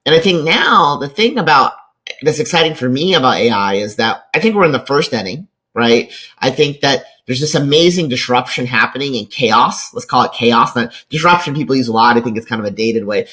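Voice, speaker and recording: A man's voice: medium pitch, fairly bright tone. A man in his forties with an American accent talks conversationally as an interview guest, enthusiastic and often checking his points with a tag question. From rural Kentucky, United States. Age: 40s. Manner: enthusiastic, peppers points with "right?". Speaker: podcast guest, venture capitalist and lecturer.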